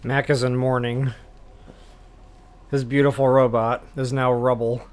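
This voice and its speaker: A man's voice: mid-range voice, little pitch movement, measured pace. An American man hosting a gameplay walkthrough, commenting in an informal, candid and explanatory way. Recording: faint game noise behind voice.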